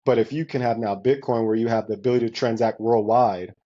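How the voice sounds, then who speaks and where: A man's voice: mid-range voice, fairly even intonation, fast talker, mellow. A man talking in a casual interview, emphatic and repetitive, often restarting his sentences. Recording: muffled lo-fi audio.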